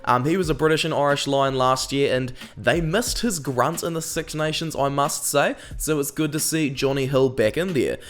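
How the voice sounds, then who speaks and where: A young man with a New Zealand accent talks through a rugby squad as host, chatty and opinionated, with plenty of "um" fillers. He is still getting over a cold, and his pitch sits in the middle range for a man. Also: normal speed, few pauses. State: recovering from a cold. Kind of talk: casual scripted commentary.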